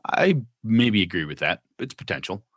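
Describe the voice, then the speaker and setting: A man's voice: lowish voice, sing-song, normal speed, no room sound, clean studio sound. A man co-hosting a casual gaming podcast, reading listener letters aloud with jokey asides.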